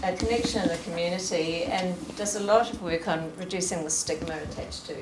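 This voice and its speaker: A woman hosting the event, thanking a speaker in a brisk, practical manner. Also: lowish voice. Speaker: event host. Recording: echoey room with loud noise.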